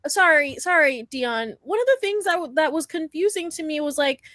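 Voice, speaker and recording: A woman's voice: high-pitched, lively intonation, moderate pace, a bit bright, no echo, clean studio sound. A woman in a casual podcast discussion, speaking in a hesitant, questioning way. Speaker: podcast panellist.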